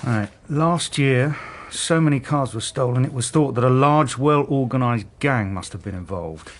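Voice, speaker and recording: An actor playing a matter-of-fact, slightly hesitant police detective in a naturalistic radio drama. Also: male voice, medium pitch, normal speed, slight background noise, close to an everyday voice.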